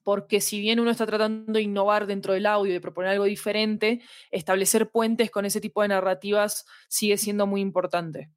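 A woman talks in a conversational interview, explaining her points clearly and with emphasis. Her pitch sits in the middle range, and the sound is dry with very little room. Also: level tone, brisk, few pauses, no room sound. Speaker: interview guest, fiction podcast specialist. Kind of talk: conversational discussion.

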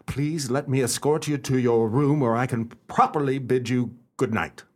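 An actor playing a self-assured, courteous male detective in a radio play, speaking formally and deliberately as he explains. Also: mid-range voice, lightly acted. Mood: composed, courteous. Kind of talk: radio play acting and narration.

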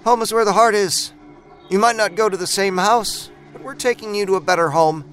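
A man voicing a gentle female social worker in a horror story, soft and reassuring, over music. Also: high-pitched, a little fast, pitched above the performer's own voice. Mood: soothing. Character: gentle, soothing adult woman social worker. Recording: music bed behind voice.

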